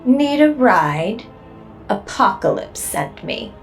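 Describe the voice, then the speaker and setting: A woman's voice: on the high side, huge pitch swings, slow pace, creaky, soft background music, full character voice. A woman playing a strange, coolly inviting henchwoman in a superhero audio drama. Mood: sly invitation.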